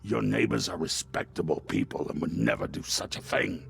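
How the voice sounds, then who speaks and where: A man's voice: lowish voice, normal speed, heavily acted. An actor playing a grumpy, suspicious male innkeeper who scolds his guest with contempt. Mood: grumbling. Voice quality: gravelly. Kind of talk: video game cutscene acting.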